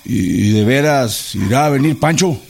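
A courteous Mexican revolutionary in a radio drama. His voice is husky and creaky, mid-pitched, with a hesitant, deferential way of talking and a worn-out, uneasy air. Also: lively intonation, normal speed. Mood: weary, impatient. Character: polite, weary revolutionary organizer, uneasy but courteous man. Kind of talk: Spanish-language radio drama dialogue.

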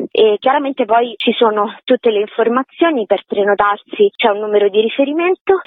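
A woman's voice: mid-range voice, no echo. A woman speaking as a guest in an informal interview, explaining in long, articulate sentences over a phone. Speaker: activist interview guest, event organiser. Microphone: telephone. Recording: narrow tinny phone line.

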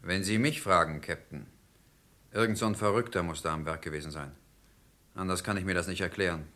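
Very low, forceful, insistent witness. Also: male voice, fairly quick. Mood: emphatic. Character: insistent, puzzled male witness to police. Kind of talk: German crime radio drama acting.

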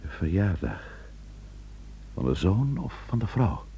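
An actor playing a quietly inquiring police investigator in a Dutch radio drama, with terse, low-key questions. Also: male voice, sing-song, slow pace, gravelly, husky, lo-fi sound, full character voice. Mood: quiet, probing.

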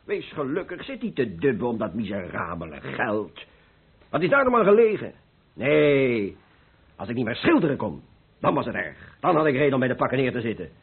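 An actor playing a cheerful, carefree older painter who philosophizes persuasively in a radio play. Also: male voice, medium pitch, big pitch swings, normal speed, breathy edge, exaggerated voice. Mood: urging, blithe. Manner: exuberant, persuasive, rhetorical. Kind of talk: Dutch radio play acting. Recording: muffled old radio play recording.

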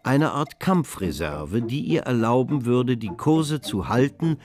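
Actor as measured male storyteller, mid-pitched. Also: animated, darkish tone, lightly acted. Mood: composed. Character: composed, knowing male narrator of a financial saga. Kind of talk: scripted radio drama narration.

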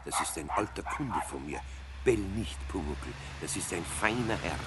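An actor playing a flustered, good-natured older craftsman with a Bavarian accent, halting and grumbling, in a 1970s children's radio play. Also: low voice, lively intonation, very noisy. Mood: mild, hushed scolding.

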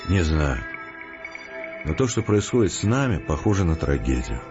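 An actor playing a calm, determined scientist who explains things earnestly and deliberately in an audio drama. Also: male voice, rumbling low. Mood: calm, persuasive. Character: resolute philosophical male scientist, calm, determined, reasoning patiently. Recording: muffled, with prominent music behind. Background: music.

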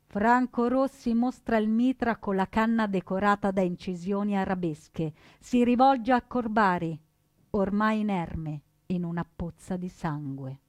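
A woman delivering solemn, emphatic scripted narration for radio theatre, with deliberate dramatic phrasing. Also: medium pitch, dry recording. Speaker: actress and narrator.

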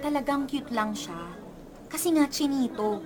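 A woman acting a smitten schoolgirl in a radio drama. She is high-pitched, gushing and dramatic, with the chatter of a crowd clearly behind her. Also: moderate pace, close to an everyday voice. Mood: gushing, teasing. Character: giggly, smitten schoolgirl best friend.

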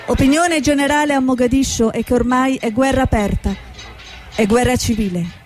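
A woman's voice: high voice, moderate pace, darkish tone, soft background music, lightly acted. A woman playing a stubborn, outspoken war correspondent in a stage drama, speaking in an earnest, insistent way. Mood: urgent reporting. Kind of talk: stage drama acting with dramatic reportage monologue.

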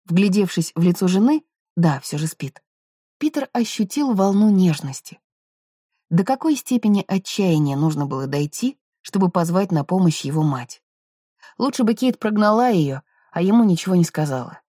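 A woman narrates a novel in a soft, mellow voice. The sound is dry, with very little room around it. Her delivery is scripted literary storytelling. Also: lowish voice, animated, normal speed, breathy edge, dry recording. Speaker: audiobook narrator.

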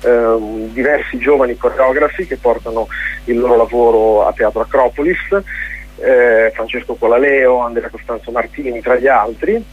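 A man with an Italian accent answers in an informal radio interview as a festival director, speaking haltingly and often repeating words as he searches for phrasing. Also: mid-range voice, steady tone, slightly rough. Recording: dull, lo-fi radio interview audio.

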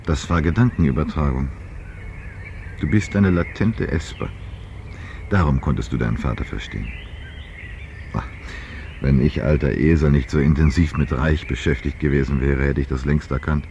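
An actor playing an assured investigator in a radio play, explaining and questioning with patient insistence. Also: male voice, extremely low pitch, moderate pace, long pauses, a little mellow. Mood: insistent. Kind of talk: German radio play acting. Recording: dull vintage radio sound, faint noise.

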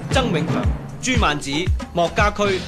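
High-voiced male announcer over loud music. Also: animated, normal speed. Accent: Hong Kong. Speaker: announcer reading credits. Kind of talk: dramatic scripted announcement.

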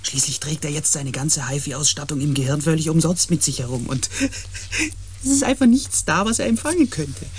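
A man's voice: fairly high pitch, animated, normal speed, breathy edge. An actor playing a casual, wry and rambling male expedition crewman in a radio drama. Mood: wry pity. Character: chatty, half-sympathetic expedition crewman.

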